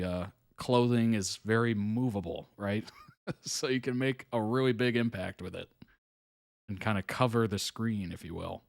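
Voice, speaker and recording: A man hosting a live reaction stream, chatting casually, reading chat messages aloud, with frequent ums and soft chuckles. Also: lowish voice, no echo, studio quality. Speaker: host reacting to videos live.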